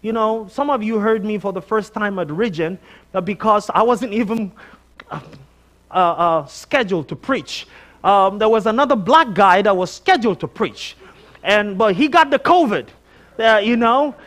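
A man's voice: high-pitched, moderate pace. A middle-aged man with a Nigerian accent giving a conversational sermon as a guest preacher, telling personal stories with emphatic repetition. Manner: animated storytelling, emphatic repetition. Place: church sanctuary, on stage before a congregation.

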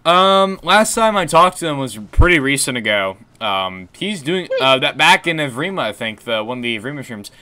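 A young man with a deep voice chatting on a gaming stream, low-key and rambling with fillers and restarts. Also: sing-song, normal speed, hardly any pauses, pushed and bright. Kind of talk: casual gaming stream chat.

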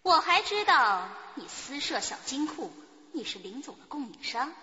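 An actress playing a bitter, lovelorn and sharp-tongued spurned mistress in a theatrical radio drama. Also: female voice, high voice, big pitch swings, normal speed, full character voice. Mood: defiant. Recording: dull recording with long reverb.

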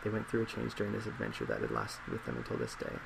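A man in his twenties in a soft-spoken ASMR role play as a psychologist, measured and reflective. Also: rumbling low, gravelly. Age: 20s. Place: small indoor office at a desk. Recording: steady loud laptop fan hiss.

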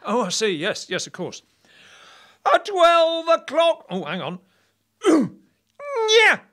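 A woman's voice: a little high, very animated, slow pace, fairly bright tone, full character voice. A woman playing a hesitant, eager-to-please male night watchman in a comic audio play. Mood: puzzled. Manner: unsure, questioning, easily led.

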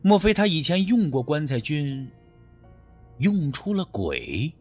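A man narrating a scripted mystery audiobook in the first person, with dramatic, expressive storytelling. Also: a little high, slow, faint music behind.

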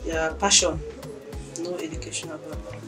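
A woman's voice: deep voice, flat delivery, normal speed, over music. A young woman, a spa esthetician answering interview questions plainly and with confidence, in a small treatment room. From Cameroon. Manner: plain, self-assured, brief answers.

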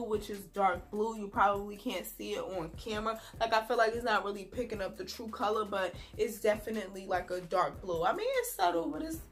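A young woman's voice, thick and husky from a bad cold, with sniffles between phrases. She chats in a loose, relaxed way, stretching words and filling gaps with "um". Faint music plays softly behind her. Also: medium pitch. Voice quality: sniffly, husky from a sore throat. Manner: chatty and relaxed, drawn-out words, many "um"s. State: congested with a cold, throaty. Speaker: beauty vlogger. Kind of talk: casual wig review to camera. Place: small indoor room.

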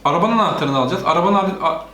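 A woman's voice: fairly low pitch, expressive, very fast, fairly bright tone. A woman casually commentating on a video game as she plays with a child, often prompting with questions. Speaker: gaming video host playing with a child. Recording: roomy echo, faint background noise.

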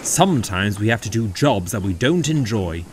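A man's voice: on the low side, expressive, normal speed, slight background noise, lightly acted. A man voicing a stern, dutiful male big engine in a children's story, grumbling and lecturing. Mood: cross, reproving.

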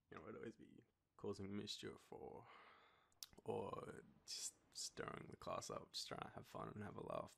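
A young Australian man playing an affectionate, nostalgic boyfriend in an intimate roleplay, talking to the listener in a halting, teasing way. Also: deep voice, creaky, slightly rough, voice pushed up, heavily acted. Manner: hesitant, soft chuckles, halting pauses. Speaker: audio roleplay performer.

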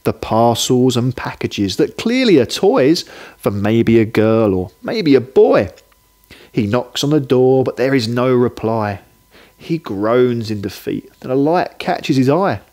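A man narrating a rhyming children's story in an expressive, scripted style. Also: mid-range voice, unhurried.

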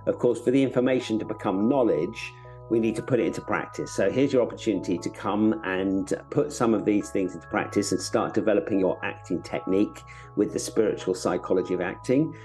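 A middle-aged man with a fairly low voice speaks straight to his listeners as a podcast host. He gives an informal promotional announcement from a room at home, with faint guitar music behind him. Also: a little fast, darkish tone, rough recording. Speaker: podcast host, acting teacher.

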